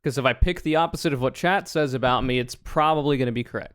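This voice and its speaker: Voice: mid-range voice, fast, smooth, no room sound, studio quality. A man in his twenties from the United States chatting casually on a livestream, reading questions aloud and answering with joking, sarcastic, rambling asides. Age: 20s.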